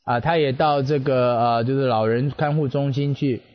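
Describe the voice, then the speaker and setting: A man hosting a radio interview, relaying each of the guest's answers at a measured pace with frequent filler pauses. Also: medium pitch, fairly even intonation, muffled recording.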